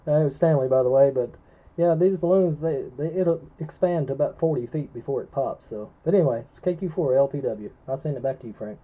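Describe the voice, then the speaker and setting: A man's voice: medium pitch, fairly quick. A man talking casually and informatively as an operator on an amateur radio net. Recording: dull lo-fi ham radio audio.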